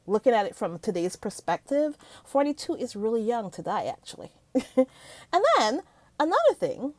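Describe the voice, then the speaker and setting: A middle-aged woman from Barbados, chatting excitedly in a movie review vlog, laughing often. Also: on the high side, moderate pace, no echo. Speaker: vlogger. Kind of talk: casual movie review chat.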